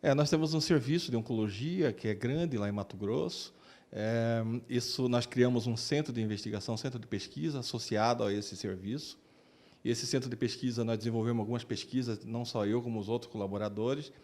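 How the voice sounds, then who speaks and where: A man's voice: medium pitch, a little fast, darkish tone. A man with a Brazilian Portuguese accent answering interview questions as a doctor, often repeating words as he searches for phrasing. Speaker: oncologist and researcher being interviewed. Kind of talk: interview answers, explaining his work.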